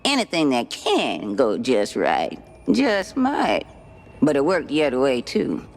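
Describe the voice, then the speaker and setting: A woman's voice: very deep voice, wildly swooping pitch, unhurried, gravelly, full character voice. An actress playing a sly, ancient, folksy swamp witch in a video game, with a Cajun accent and a teasing, cryptic drawl. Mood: mysterious storytelling. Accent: Louisiana Cajun. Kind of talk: video game cutscene acting.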